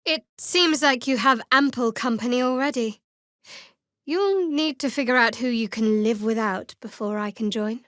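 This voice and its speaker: A guarded young woman cleric from a fantasy video game, acted by a woman, in a fairly high voice. She serves a dark goddess and speaks with wry, clipped irony. The sound is close and dry, with no room around it. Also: normal speed, no room sound, natural voice.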